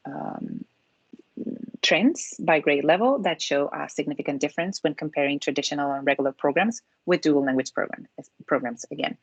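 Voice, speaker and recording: A low-pitched woman from Spain whose first language is Spanish explains a school program over a video call. She pauses often with ums and restarts her sentences. The sound is dry and close. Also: dry recording, dull audio. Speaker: dual language program presenter. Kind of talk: presenting slides on a video call.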